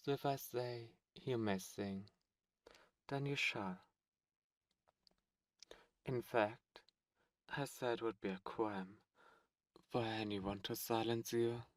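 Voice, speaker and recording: A man's voice: medium pitch, steady tone, takes it slowly, pauses often, dry recording, studio quality, lightly acted. A man playing a flirtatious, imperious demon prince in an intimate ASMR roleplay, with theatrical, courtly phrasing. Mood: amused, flattering. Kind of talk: ASMR roleplay audio drama, intimate close delivery.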